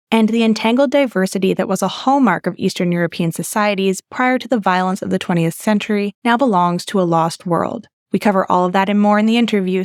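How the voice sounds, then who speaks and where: Woman host, dry close mic, reads her questions steady and clear. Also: mid-range voice, dry recording. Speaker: podcast host. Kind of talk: scripted intro and interview questions.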